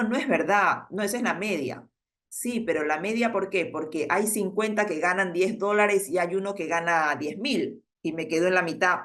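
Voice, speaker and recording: A woman giving a class lecture, explaining patiently and checking in with her students, with frequent hesitations. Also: fairly low pitch. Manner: explains patiently, asks "¿sí?" often, many "eh" fillers. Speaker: instructor.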